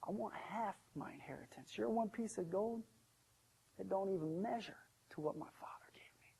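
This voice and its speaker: A man's voice: a little high, fairly even intonation, a little slow, creaky, slightly rough, pitched below the performer's own voice, full character voice, low-quality recording. A man playing a humbled young prodigal son in a storytelling sermon, speaking in a subdued, hesitant and earnest way. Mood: confessing.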